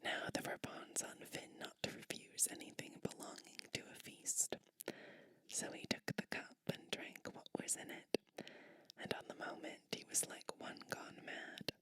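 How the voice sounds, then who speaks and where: A woman whispering ASMR storytelling and bedtime roleplay in a gentle, soothing manner. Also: a little slow, no echo, rough recording. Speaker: ASMR host playing a sleep witch.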